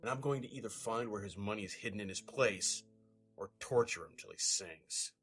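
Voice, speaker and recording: A man acts out a mean, short-tempered crime boss of a father in an audio horror story. The voice is low and growling, full of contempt. Faint music sits underneath. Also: normal speed, a bit bright. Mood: irritated, scornful. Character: foul-mouthed, bullying, short-tempered criminal father.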